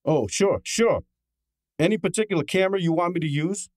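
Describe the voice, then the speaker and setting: A man's voice: sits high, a little fast, a little mellow, no echo, natural voice. Man playing brash wisecracking detective's sidekick, dry studio. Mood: brash, incredulous. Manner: snappy, hard-boiled, wisecracking. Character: Archie Goodwin, brash wisecracking male detective's assistant and narrator. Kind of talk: old-time radio detective drama acting and narration.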